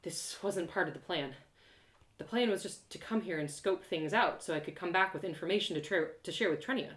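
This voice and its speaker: A woman narrating a first-person story in an animated, theatrical way, with dramatic pauses. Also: on the low side, roomy sound. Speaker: storyteller performing an audio drama live.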